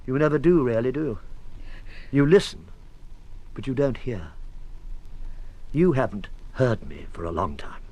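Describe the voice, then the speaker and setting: A man's voice: medium pitch, lively intonation, measured pace, long pauses, warm tone. An actor with a British accent playing a defensive, exasperated husband in his fifties in a radio drama, sardonic and weary. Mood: wistful, pleading. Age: 50s. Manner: sardonic, weary, bursts of irritation. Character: defensive, exasperated 51-year-old husband accused by police.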